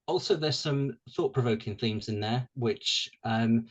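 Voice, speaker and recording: A man from Wales talks easily about himself as an interview guest. He is young and relaxed, and his speech is full of ums and small restarts. His voice sits at an ordinary middle pitch. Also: a little slow, highs cut off. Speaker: guest author. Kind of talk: casual interview chat.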